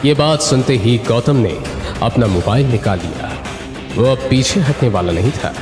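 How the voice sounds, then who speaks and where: A man's voice: medium pitch, lively intonation, normal speed. A man giving dramatic scripted narration for an audio story. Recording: roomy echo with long reverb. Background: music.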